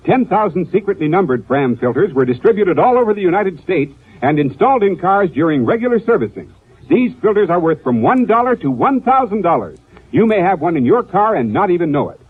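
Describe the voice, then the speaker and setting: A tinny old radio recording of a male announcer giving a 1950s commercial. His high voice swings with lively emphasis as he sells hard. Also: breathy edge, dry recording. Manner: emphatic, repetitive salesman delivery. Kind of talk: 1950s radio advertisement pitch.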